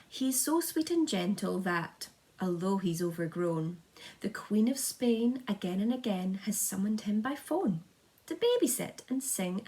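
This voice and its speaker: A woman voicing an eager, polite young boy who proudly presents friends in sing-song storybook rhyme. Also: medium pitch. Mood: earnest, proud. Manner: sing-song rhyming delivery, showman-like.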